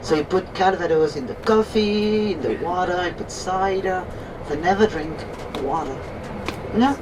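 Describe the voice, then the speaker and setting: French-accented woman guide, lowish voice. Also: lively intonation, measured pace. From Normandy, France. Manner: chatty, explains terms, asks listeners to check they follow. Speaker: tour guide. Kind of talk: guided tour commentary. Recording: distant voice with noisy vehicle background.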